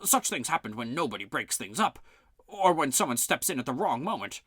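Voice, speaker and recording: Narrator as a cool sly alien servant, calm, even, dry sound. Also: medium pitch, fairly quick, slightly rough, no echo, pitched above the performer's own voice.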